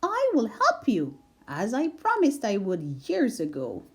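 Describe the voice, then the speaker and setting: A woman voicing a tiny, timid female mouse who speaks gently and earnestly in a high squeaky voice. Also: huge pitch swings, breathy edge, full character voice. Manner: high squeaky voice, slow and careful.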